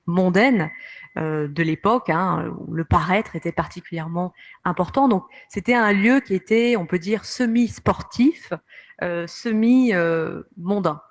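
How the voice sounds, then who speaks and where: A woman's voice: fairly low pitch, a little slow. A woman giving a history lecture to an audience over slides, speaking hesitantly with many fillers and restarts, and addressing listeners directly as she explains each picture. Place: conference room.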